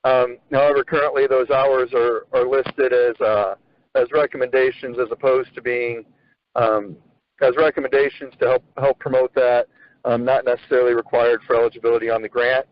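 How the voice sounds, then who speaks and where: Man hosting a phone conference, halting delivery with restarts and fillers, mid-range voice, muffled line. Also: mid-range voice, little pitch movement. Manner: halting, repeats words, many fillers. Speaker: host of an agricultural education conference call. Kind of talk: leading a conference call. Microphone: phone on a conference call. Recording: muffled conference-call audio.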